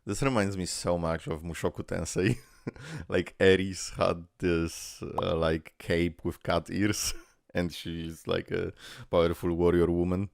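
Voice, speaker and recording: Very low gravelly guy rambling. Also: steady tone, a little slow. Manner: rambling, swearing, laughing between thoughts. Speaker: reaction video creator. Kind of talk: casual reaction commentary.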